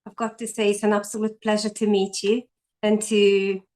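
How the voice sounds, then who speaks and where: A woman's voice: medium pitch, flat delivery, normal speed, darkish tone. A woman in her forties from Hungary with a Hungarian accent, a guest on a video call telling her story earnestly, with many fillers and restarts. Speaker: guest, online entrepreneur. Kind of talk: casual interview chat.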